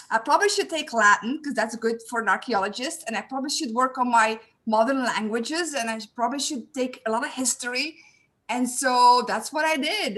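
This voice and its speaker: A woman's voice: on the high side, a bit bright. A young woman from Belgium with a Belgian accent, introducing herself as host of an online panel, speaking in a friendly, eager way with many "um" fillers over a video call.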